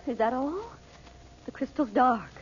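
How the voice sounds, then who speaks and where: A woman's voice: high-pitched, measured pace, a little mellow, husky, exaggerated voice. An actress playing an earnest, apprehensive and protective mother in an old radio drama. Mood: worried. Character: anxious, protective mother, worried and cautious. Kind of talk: 1940s radio drama acting. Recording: muffled, noisy old radio recording.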